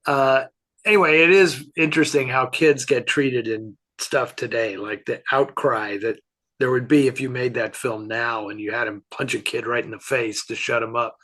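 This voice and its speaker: A man chatting casually as a film expert answering questions, halting and thinking aloud as he offers his opinions. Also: medium pitch, fairly even intonation, sharp tone.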